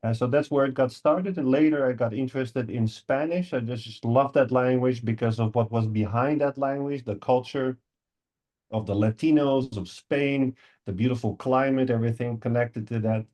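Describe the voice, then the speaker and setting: A man in his forties from the Netherlands, a native Dutch speaker with a Dutch accent, talking as a podcast guest and often pausing with ums and uhs. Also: mid-range voice, dark tone. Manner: hesitant, many ums and uhs. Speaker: guest English teacher. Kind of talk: podcast interview.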